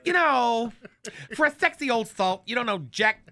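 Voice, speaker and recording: A man's voice: very high-pitched, animated, normal speed, bright, forceful tone. A man hosting a radio comedy show, delivering a teasing, sarcastic roast. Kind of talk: comic roast monologue. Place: radio studio with laughing cohosts.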